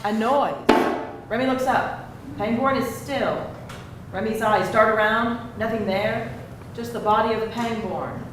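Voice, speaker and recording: A woman's voice: on the high side, unhurried, faint music behind. A woman reading stage directions as narrator at a live screenplay reading. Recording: very echoey, distant, noisy live room.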